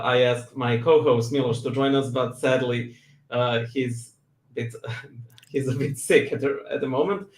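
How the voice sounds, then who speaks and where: A man's voice: medium pitch, echoey room. A young adult man from Serbia with a Serbian accent, whose first language is Serbian, speaking as a guest in a casual interview, laughing often and making self-deprecating jokes. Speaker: guest, church reader and YouTube creator.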